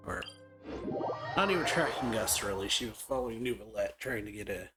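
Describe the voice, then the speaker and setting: A woman's voice: extremely low pitch, lively intonation, fairly quick, over loud music. A woman giving casual, laid-back let's play commentary as a gaming video host, in short murmured reactions.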